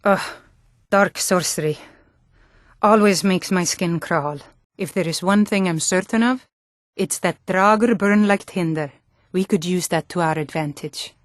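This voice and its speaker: A woman's voice: lowish voice, measured pace, no echo, clean studio sound, natural voice. An actress playing a tough, blunt Nordic warrior woman in a fantasy video game, speaking firmly with a Nordic accent. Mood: battle-ready. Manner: firm, blunt.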